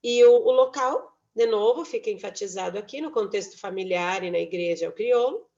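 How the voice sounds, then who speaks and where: Woman lecturer, mid pitch, measured academic talk, muffled call audio. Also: warm tone. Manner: measured, frequent "né" tags and self-corrections. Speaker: university lecturer presenting research. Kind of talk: academic presentation. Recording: dull lo-fi online talk audio.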